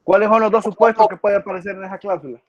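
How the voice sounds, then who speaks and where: A man's voice: sits high, steady tone, racing, no room sound. Male law lecturer, repeats key terms, muffled lo-fi recording. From El Salvador. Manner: quizzes students by name, repeats key words. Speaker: law professor. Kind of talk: online class lecture.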